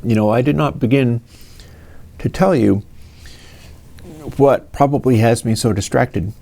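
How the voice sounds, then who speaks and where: A man's voice: medium pitch, measured pace, creaky, dark tone, slight background noise. A man in his fifties from the United States, answering viewer questions in a casual, rambling way with many fillers and restarts. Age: 50s.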